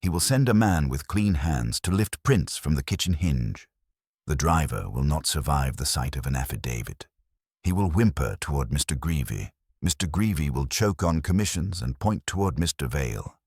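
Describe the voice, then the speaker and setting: A man voicing an imperious, eloquent detective genius in an audiobook, coolly confident, measured and formal. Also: a bass voice, big pitch swings, darkish tone, husky, no room sound, clean studio sound, full character voice. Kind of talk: audiobook character dialogue.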